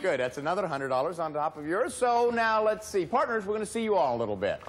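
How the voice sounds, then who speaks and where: A middle-aged man hosting a studio game show, talking in a brisk, cheerful, emphatic way. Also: sits high, sing-song, over a noisy crowd, very noisy. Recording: broadcast with loud music and studio audience.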